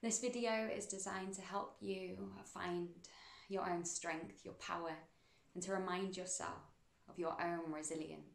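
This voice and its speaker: A woman guiding a meditation in a soothing, unhurried manner, with measured phrases and pauses between them. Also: fairly low pitch, fairly even intonation, vocal fry, reverberant. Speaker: meditation guide. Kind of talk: guided meditation narration.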